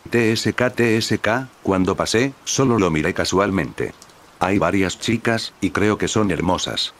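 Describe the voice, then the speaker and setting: Man as a calm young wizard, teasing, storybook, low voice. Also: measured pace, full character voice.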